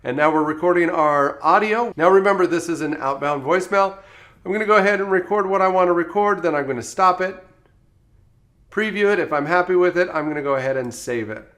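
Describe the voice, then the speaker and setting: A man presenting software training in a step-by-step instructional tutorial style, as a voice-over. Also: sits high, fairly quick. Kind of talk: scripted tutorial narration.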